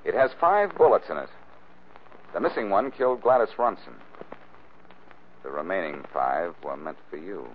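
An actor playing a cool, sardonic amateur detective in the style of a 1940s radio drama. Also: male voice, low voice, animated, normal speed, long pauses. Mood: probing, wry. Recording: muffled, faintly noisy old radio recording.

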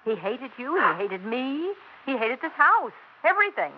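Blunt, exasperated daughter, animated pitch. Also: female voice, moderate pace. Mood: bitter, insistent. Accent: American. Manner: emphatic, confrontational, dramatic. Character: blunt, exasperated, pleading married grown daughter. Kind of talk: 1960s radio drama acting. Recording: muffled, noisy 1960s radio recording.

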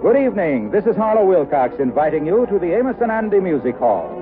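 High-voiced vintage male radio announcer. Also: sing-song, fast. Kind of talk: 1950s radio show introduction. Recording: tinny vintage radio broadcast with music. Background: music.

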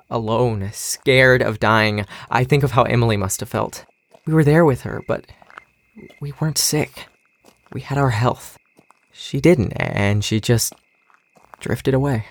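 A young man playing a weary, anxious but caring survivor in an audio drama, with a gravelly creak in his voice. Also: mid-range voice, a little slow, dry recording. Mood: sorrowful. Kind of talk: naturalistic audio drama acting.

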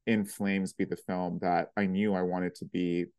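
Man, very low pitch, reflective, filler-heavy, film director, dry recording. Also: little pitch movement, measured pace, a little mellow, dry recording. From Pakistan. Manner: reflective, many "like" fillers and restarts. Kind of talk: interview answers.

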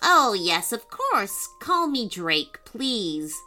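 A woman's voice: medium pitch, sing-song, drawn-out words, bright, forceful tone, faint music behind, exaggerated voice. A woman voicing a strange, cheeky, friendly giant talking mushroom in a children's story.

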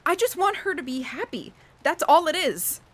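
A woman's voice: high voice, very animated, moderate pace, fairly bright tone. An actress playing an earnest, lonely, self-deprecating young woman in an audio drama. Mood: earnest, confiding. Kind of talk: audio drama acting, confessional monologue and dialogue.

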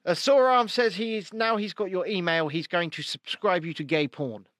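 A man's voice: sits high, moderate pace, fairly bright tone, no room sound, clean studio sound. A man co-hosting a casual live-stream podcast, chatty and jokey, rambling through restarts. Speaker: podcast co-host.

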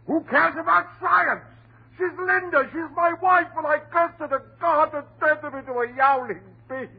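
A man's voice: very high-pitched, moderate pace, creaky, narrow, tinny audio. An actor playing a distraught, desperate husband devoted to his wife, in melodramatic, pleading 1930s radio horror style. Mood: frantic, defiant. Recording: noisy, band-limited 1930s radio recording.

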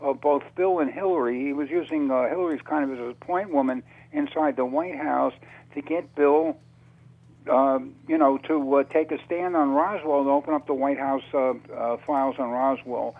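A man speaking as a radio interview guest, telling his account in a halting, conversational way with repeated words. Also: medium pitch, a little mellow, rough recording. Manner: halting, hesitant phrasing with repetitions.

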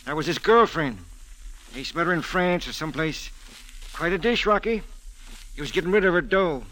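A man's voice: a little high, normal speed, a bit bright, natural voice, poor audio. An actor playing a slangy, distracted and evasive small-time gambling henchman in 1940s radio style.

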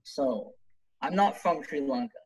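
A man's voice: medium pitch, expressive, unhurried, darkish tone, low-quality recording. A young man from Canada chatting on a casual podcast, rambling and defensive with lots of fillers. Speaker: podcast co-host.

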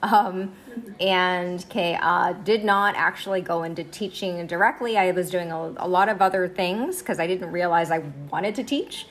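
A woman in her forties, a high school science teacher, telling her story in a casual interview with many fillers and easy laughs. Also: moderate pace. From United States. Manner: chatty, many fillers and "okay"s, laughs easily.

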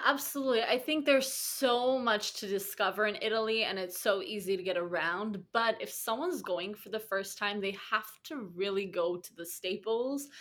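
A young woman guest in a casual interview, bubbly and quick to laugh. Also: mid-range voice, fairly bright tone. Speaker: podcast guest.

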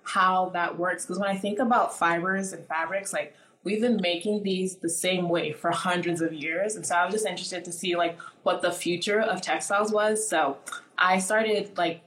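A woman's voice: fairly low pitch, fairly even intonation, moderate pace, a bit bright, reverberant, low-quality recording. A woman in her twenties, born in Nigeria and raised in the US, talking casually as an interview guest, with lots of "like" fillers. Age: 20s. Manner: chatty, many "like" fillers.

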